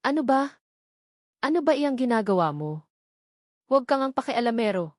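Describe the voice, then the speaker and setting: A woman's voice: fairly high pitch, sing-song, moderate pace, darkish tone, no echo, studio quality, pitched above the performer's own voice. A woman voicing a feisty, defiant young heroine in a romance audiobook, with a sassy manner. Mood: indignant, defiant. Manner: indignant, sassy.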